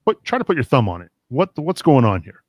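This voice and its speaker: A man's voice: medium pitch, huge pitch swings, fairly quick, a little mellow, no echo, clean studio sound. A man hosting a casual sports podcast, steering the talk with plenty of questions. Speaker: podcast host.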